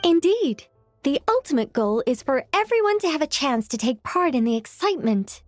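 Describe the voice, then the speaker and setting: Calm scholarly game mage, highish voice, explains everything neatly. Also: female voice, no room sound, soft background music. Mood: explanatory. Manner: measured, explanatory. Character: composed, scholarly young female mage explaining magic. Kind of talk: animated video game dialogue.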